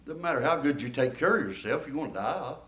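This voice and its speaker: A man's voice: medium pitch, lively intonation, quick pace, a bit bright. A man, preaching a folksy, emphatic sermon and drawing responses from his congregation. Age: 70s. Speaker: pastor. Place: church. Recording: echoey church room.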